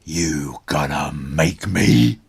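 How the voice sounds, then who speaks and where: A huge storybook T-Rex, played by a man, roars defiantly. The voice is deep and gravelly, drawn out with slow menace. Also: expressive, full character voice. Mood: menacing. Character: huge defiant Tyrannosaurus rex, male monster.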